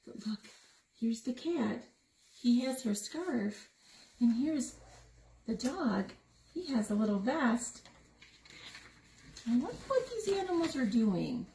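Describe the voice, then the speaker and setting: A woman reads a picture book to young children in a high, very lively voice. She takes her time, putting questions to listeners. The room is echoey with some noise behind her. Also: takes it slowly, long pauses. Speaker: story time reader. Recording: roomy echo with noticeable background noise.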